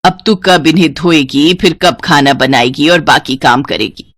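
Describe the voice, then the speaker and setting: A woman's voice: deep voice, a little slow, no echo, clean studio sound, pitched below the performer's own voice. A woman voicing a scheming older mother-in-law who nags and needles in a storybook tale. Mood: sly goading. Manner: needling, complaining.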